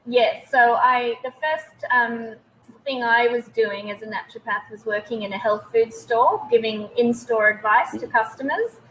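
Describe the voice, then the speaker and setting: Bright-voiced Australian woman in her thirties. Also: fairly high pitch, unhurried, slight background noise, poor audio. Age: 30s. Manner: hesitant, many ums. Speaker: guest naturopath. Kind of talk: casual webinar interview.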